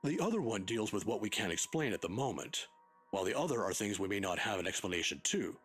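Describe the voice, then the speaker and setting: A kindly old professor from a video game. His voice is husky and moves up and down with lively expression as he patiently explains things in a scholarly, exacting way. Faint music plays behind him. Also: fairly low pitch, fast talker. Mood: patient, explaining. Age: older. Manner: scholarly, pedantic, given to chuckling.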